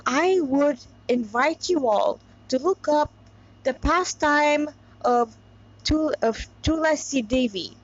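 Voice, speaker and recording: A woman with a somewhat high-pitched voice narrates in a homemade, informal style. She rambles and digresses, slipping between reading and chatty explanation. The sound is a little dull and lo-fi. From Montreal, Canada. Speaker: narrator reading a story aloud with commentary. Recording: dull lo-fi recording.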